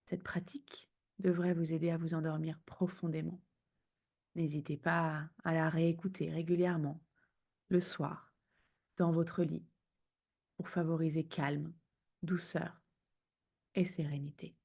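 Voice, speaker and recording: A woman guiding a sleep meditation in a soothing, hushed manner, speaking in unhurried phrases with pauses. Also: deep voice, little pitch movement, vocal fry. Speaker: yoga nidra guide.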